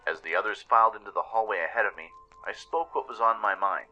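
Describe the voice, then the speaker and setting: A man narrates an adventure radio play in a dramatic scripted style. His voice is lowish and very bright and forceful, with music playing behind it. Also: fast talker, highs cut off. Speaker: narrator and producer.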